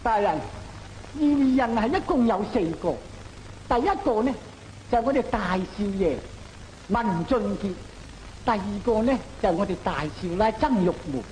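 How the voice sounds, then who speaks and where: An actor playing a timid household servant testifying in an old opera film, deferential and emphatic. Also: male voice, sits high, lively intonation, normal speed, a little mellow, low-quality recording. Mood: nervous testimony. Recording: old film soundtrack with hiss.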